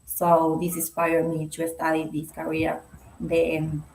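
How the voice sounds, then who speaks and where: A young Argentine woman speaking halting, non-native English with a Spanish accent, answering interview questions on a video call and laughing often. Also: low-pitched, completely flat delivery, darkish tone, reverberant, rough recording. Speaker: guest speaker.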